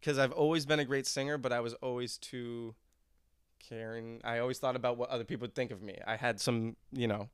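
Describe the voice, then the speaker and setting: A man's voice: mid-range voice, steady tone, fairly quick, smooth, no echo, studio quality. A young man, a musician guest on a podcast, who chats casually in a rambling, laid-back way and laughs often. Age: young adult.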